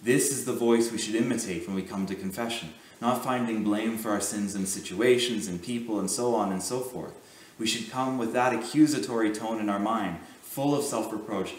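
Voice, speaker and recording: A priest delivers a sermon in a low, steady voice, slow and earnest, pausing between phrases. He stands some way from the mic, so the church room rings around his words. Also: roomy sound, distant mic, poor audio. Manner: measured, earnest preaching with deliberate pauses.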